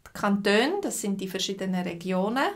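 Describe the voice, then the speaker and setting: A woman with a Swiss German accent teaching a live stream lesson. She greets viewers by name and explains things slowly and simply, with many fillers. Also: mid-range voice, lively intonation. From Switzerland.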